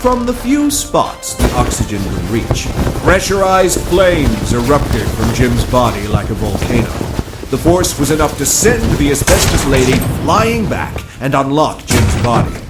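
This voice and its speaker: A man's voice: medium pitch, sing-song, a little slow. A man giving dramatic scripted narration for an audio drama. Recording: loud music behind.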